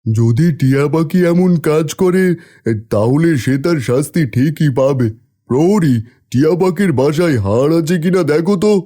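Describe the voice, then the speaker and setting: A man voicing a cautious, deferential royal minister in a cartoon fairy tale, measured and respectful. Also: fairly high pitch, animated, dark tone.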